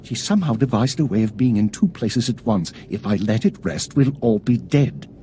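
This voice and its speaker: A haughty colonel from a video game , acted by a man, pressing and pompous. His mid-pitched voice is mellow, with animated rises and falls. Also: normal speed, a little hiss or hum, close to an everyday voice. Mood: urgent, pressing. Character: insistent male Sith colonel, haughty, anxious gossip.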